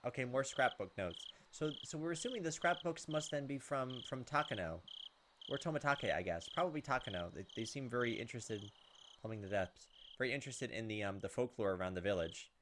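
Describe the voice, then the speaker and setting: Quick-talking man narrating a visual novel. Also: mid-range voice, background noise. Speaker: gaming video host. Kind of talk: reading visual novel text aloud with commentary. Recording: game music and insect sounds behind.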